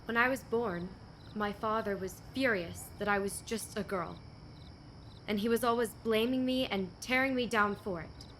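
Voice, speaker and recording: A woman's voice: mid-range voice, moderate pace, fairly bright tone, a little hiss or hum, lightly acted. A young woman acting a proud, bitter, fiercely independent young woman in a radio play, guarded and terse. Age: young adult.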